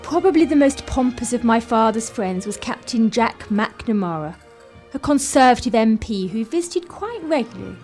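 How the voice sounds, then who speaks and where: Woman playing a high-voiced, sardonic young Englishwoman. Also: expressive, moderate pace, darkish tone, faint music behind. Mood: wry narration. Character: bored, sardonic young upper-class daughter of 1930s clergyman. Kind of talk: radio play acting and narration.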